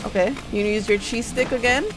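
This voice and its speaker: A young woman giving casual, slangy game commentary in an excitable, profane, reactive way. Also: fairly high pitch, a little fast. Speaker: gamer commentator. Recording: game music behind voice.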